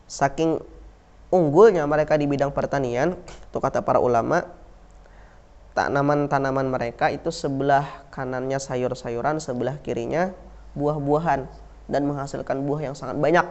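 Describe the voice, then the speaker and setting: A man's voice: mid-range voice, steady tone, moderate pace, a little mellow. A man with an Indonesian accent, teaching a class on Islamic history, who repeats key words and asks and answers his own questions, with frequent fillers like "nah". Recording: dull lo-fi recording.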